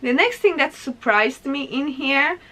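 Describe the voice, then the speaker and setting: A woman's voice: high-pitched, expressive, measured pace, bright, forceful tone. A young woman from Poland with a Polish accent, talking casually and animatedly to viewers as a vlogger. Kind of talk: casual vlog monologue to camera.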